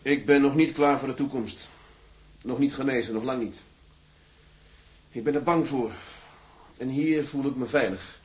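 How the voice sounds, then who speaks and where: An actor playing a brooding, stubborn sea captain in a radio drama, terse and grim. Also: male voice, mid-range voice, measured pace, pauses often, close to an everyday voice. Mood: defiant.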